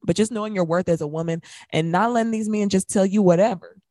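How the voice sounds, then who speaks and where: An American woman, roughly in her thirties, co-hosting a radio show with casual talk about music. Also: mid-range voice, quick pace, mellow, dry recording, clean studio sound.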